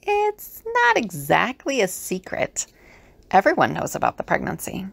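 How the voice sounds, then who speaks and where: Creaky, sarcastic, irritable woman played by an actress. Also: mid-range voice, wildly swooping pitch, unhurried, a bit bright, dry recording, exaggerated voice. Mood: worried, weary. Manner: dry, exasperated. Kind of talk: audio drama acting.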